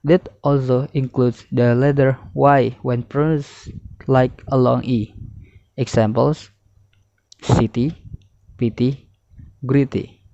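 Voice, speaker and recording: Slow, mellow young man reading a lesson. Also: medium pitch, steady tone, creaky, dry recording. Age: young adult. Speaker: student presenter. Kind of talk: scripted lesson narration, reading slides. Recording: muffled, dull lo-fi audio.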